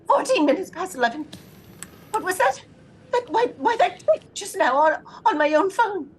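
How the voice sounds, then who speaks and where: Frantic bossy invalid lady, suspense audio play, super high voice, pitch jumps around. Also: a little hiss or hum. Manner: agitated, imperious, breathless pleading. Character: frantic, demanding invalid wife alone at night. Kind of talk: suspense audio drama acting.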